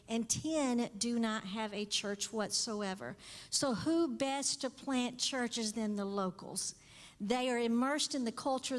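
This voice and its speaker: A woman in her fifties giving an earnest missions presentation to a church. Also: on the high side. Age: 50s. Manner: earnest, explanatory, steady. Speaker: missionary speaker. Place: church sanctuary. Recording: long church hall reverb.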